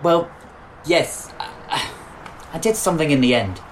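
A man's voice: on the high side, expressive, slight background noise, close to an everyday voice, low-quality recording. Man acting an anguished, guilt-ridden suspended policeman. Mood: defensive, anguished. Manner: anguished, halting, emotional. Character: distraught suspended young policeman, bitter, guilt-ridden lover. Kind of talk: audio drama monologue and dialogue.

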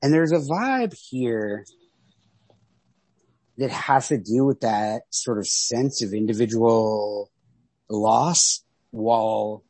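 A man's voice: mid-range voice, expressive, slow, pauses often, darkish tone, husky, dry recording. A man co-hosting a podcast, discussing literature casually and thinking aloud in a tentative, hedging way. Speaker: podcast co-host.

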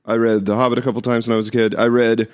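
Hoarse man in wry casual chat. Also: medium pitch, little pitch movement, racing, a little mellow. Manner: wry, joking, lots of "like" and stammered restarts. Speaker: podcast co-host.

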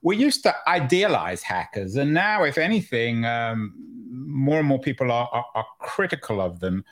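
A man hosting a conversational interview, building his questions haltingly with many hesitations. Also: mid-range voice, big pitch swings, a bit bright. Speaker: podcast host interviewer.

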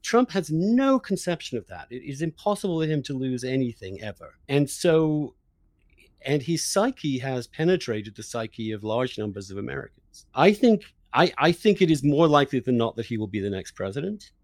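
Guy hosting a politics chat, mid pitch, pushes his argument hard, really dry room. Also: very animated, moderate pace, darkish tone, no room sound. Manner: emphatic, argumentative. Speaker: podcast host, interviewer. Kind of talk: opinionated political discussion.

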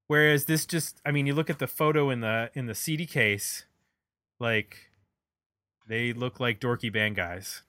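A man's voice: mid-range voice, a little slow, sharp tone, no room sound, studio quality. A man hosting a music podcast, chatting casually as he reviews albums.

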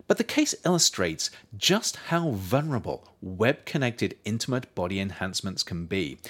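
Low-voiced man narrating with very animated intonation. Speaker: author reading own book as narrator. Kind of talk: scripted nonfiction narration.

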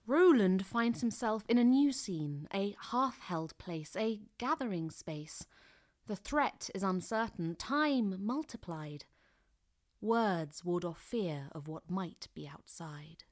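An actress playing a storyteller who narrates an uneasy scene in a deliberate, expressive way. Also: female voice, mid-range voice. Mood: measured suspense. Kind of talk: scripted dramatic narration.

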